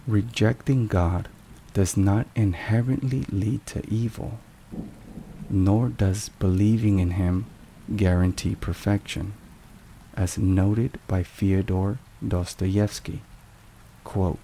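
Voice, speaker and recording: Man, low pitch, unhurried pace, hushed ASMR delivery, scripted devotional narration, measured. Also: rough recording. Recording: steady rain and thunder noise. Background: rain and thunderstorm sounds.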